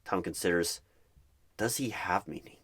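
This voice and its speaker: A man narrating an ASMR roleplay in the third person, with deliberate, halting and repetitive phrasing. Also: sits low, a bit bright, slightly rough.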